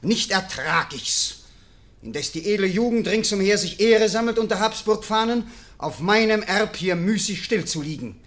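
A man's voice: high voice, animated, moderate pace, a bit bright. An actor playing an ambitious young nobleman who argues his case earnestly and declaims in a classic radio play. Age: young adult.

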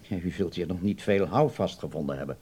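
Radio drama: older philosophical landlord; relaxed dark tone, measured, courteous, slightly hesitant, fatherly. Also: low voice, husky. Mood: grave, concerned. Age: middle-aged or older. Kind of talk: radio play acting.

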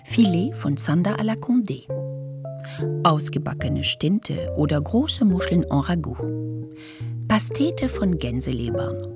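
A woman playing a deliberate announcer who recites lists and titles in a radio play, over music. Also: lowish voice, very animated, exaggerated voice. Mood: deliberate, savouring.

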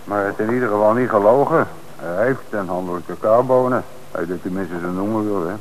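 An actor playing an eager police detective who talks in a conversational, enthusiastic way in a radio drama. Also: male voice, lowish voice, fairly quick, slight background noise, close to an everyday voice, poor audio.

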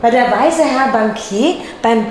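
An actress playing a blunt, foul-mouthed, exasperated wife in a naturalistic radio drama. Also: female voice, on the high side, animated, unhurried. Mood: angry, accusing. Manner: blunt, swearing, colloquial. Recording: echoey room with clear background noise.

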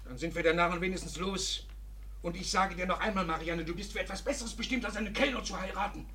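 A man's voice: high voice, quick pace, sharp tone. An actor playing a scornful, domineering café-owner father with a blustering, sarcastic manner in a 1950s radio drama. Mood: scornful, angry. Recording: distant, noisy old radio drama.

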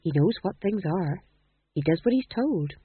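A man voicing a gentle, motherly actor's wife who speaks softly and kindly, on a tinny recording. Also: sits high, expressive, normal speed, slightly rough, no room sound. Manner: soft, kindly. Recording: tinny phone-like sound.

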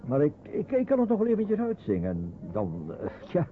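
A sober middle-aged father and refugee in a radio play. He is earnest and measured, his pitch moving widely, on a tinny old narrow-band recording. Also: wildly swooping pitch, slight background noise. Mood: hopeful, hesitant. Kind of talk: radio drama acting.

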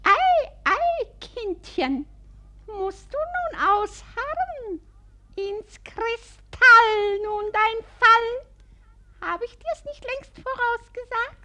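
Cackly old witch hag, super high voice, slow singsong mocking, radio drama. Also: animated, pushed and bright, a little hiss or hum, heavily acted. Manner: sing-song taunting, gleeful. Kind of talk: German radio play acting.

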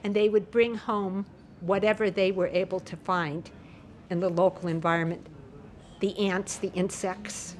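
A woman's voice: on the low side, fairly even intonation, a little slow, slight background noise. A woman speaking spontaneously in an interview, explaining things.

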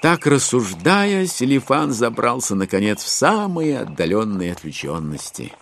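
A man narrating classic literature as a storyteller in an expressive, dramatic audio production. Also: mid-range voice, normal speed, creaky, slight background noise. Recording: music behind narration. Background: music.

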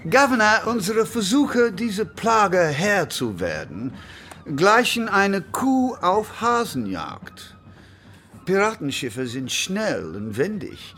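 An actor playing a frustrated, deferential navy admiral in a radio drama, wordy and easily flustered. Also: male voice, high-pitched, big pitch swings, slow, sharp tone, slight background noise, heavily acted. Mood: exasperated.

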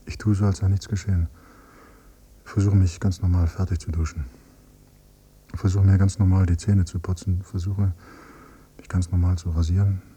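A man acting as a grieving, quietly bitter adult son in a radio drama, speaking in a sombre, measured way. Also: low voice, level tone, slightly rough. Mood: numb, sombre. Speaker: first-person narrator and character. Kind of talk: literary radio drama monologue and dialogue. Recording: noisy hummy cassette copy of radio play.

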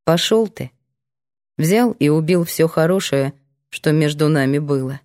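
A woman's voice: low-pitched, moderate pace, warm tone. A woman reading a first-person novel aloud as scripted audiobook narration.

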